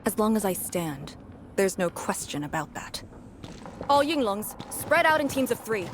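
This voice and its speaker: An actress playing a calm, commanding military task leader in a video game, giving clipped orders with authority. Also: female voice, medium pitch, measured pace, slight background noise. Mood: firm, commanding. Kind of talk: scripted video game voice acting.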